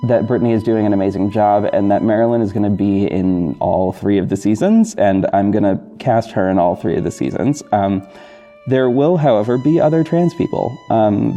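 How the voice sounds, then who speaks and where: A man hosting a podcast, talking casually and apologetically to listeners in a halting, self-correcting, rambling way. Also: mid-range voice. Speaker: podcast host and audio drama creator. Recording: dull lo-fi recording with music bed. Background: soft guitar backing music.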